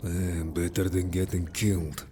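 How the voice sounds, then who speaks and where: Male actor as stern merman, extremely deep voice, curt, wary, audio drama, clear water ambience. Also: level tone, measured pace, background noise. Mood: disapproving. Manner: curt, grave, distrustful of humans. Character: stern, wary, disapproving merman information gatherer. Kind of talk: audio story character acting.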